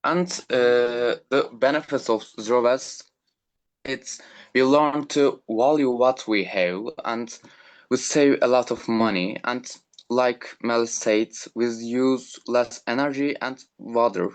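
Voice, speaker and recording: Slow, halting teenage boy presenter. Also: mid-range voice. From Turkey. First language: Turkish. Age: teens. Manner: halting, reads points with fillers. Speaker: student speaker. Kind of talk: presenting a talk at an online conference. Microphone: remote call microphone.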